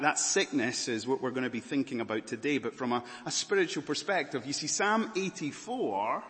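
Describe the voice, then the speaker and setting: Scottish preacher in a big echoing hall. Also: male voice, medium pitch. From Scotland. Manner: earnest, swinging between emphatic and hushed. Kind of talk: sermon.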